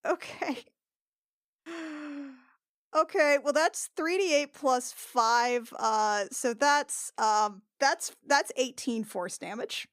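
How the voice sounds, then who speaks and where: A woman narrating her moves casually as a player in a tabletop game, halting and thinking aloud, with some swearing. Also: high voice, a bit bright, smooth, no echo, clean studio sound. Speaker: player in a tabletop RPG podcast.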